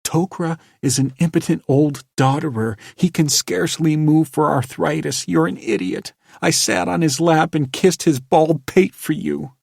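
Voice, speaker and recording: A man's voice: fairly high pitch, a little slow, vocal fry, few pauses, darkish tone, husky, dry recording, studio quality, pitched above the performer's own voice, exaggerated voice. A man voicing a proud, scheming young woman who snaps and hisses at her lover. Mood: sharp. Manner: hissing, snapping, then pleading.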